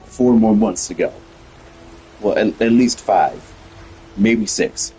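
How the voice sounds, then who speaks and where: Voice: medium pitch, takes it slowly, dark tone, faint music behind, low-quality recording. A streamer chatting casually while playing, talking to themself and a friend. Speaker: gaming streamer. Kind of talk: casual gameplay commentary.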